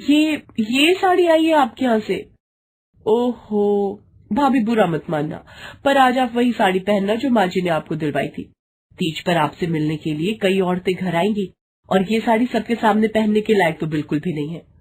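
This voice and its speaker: Woman putting on a high-pitched catty young sister-in-law. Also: moderate pace, darkish tone, husky, close to an everyday voice. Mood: disdainful. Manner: mocking, fussy, pointed.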